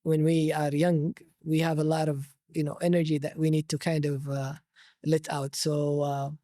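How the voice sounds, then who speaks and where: A man with a Saudi Arabic accent, telling his personal story conversationally as an interview guest, pausing to search for words. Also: a little high, level tone, mellow, dry recording, studio quality. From Jeddah, Saudi Arabia.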